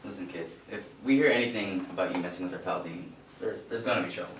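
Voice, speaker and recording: A man acting a menacing radio-star bully in a stage play, with a deliberate, commanding delivery. He is heard from a distance in an echoey space, with faint noise behind him. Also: low voice, a bit bright. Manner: threatening, deliberate, falsely friendly before hard commands. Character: menacing male radio performer bullying a younger colleague.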